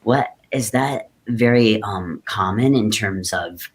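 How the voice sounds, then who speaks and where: A man with a lowish voice, soft-spoken and reflective, talks through his art in a relaxed interview. He speaks unhurriedly and tells a story from his youth. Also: takes it slowly. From Great Lakes region, North America. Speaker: guest artist, hoop dancer.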